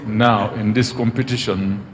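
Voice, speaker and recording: A man leads a prophecy service into a handheld mic, his voice leaping up in pitch whenever he presses a point. His words trail off in a long reverb from the big space around him. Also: steady tone, unhurried. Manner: prophesying with sudden emphatic rises. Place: large outdoor church tent.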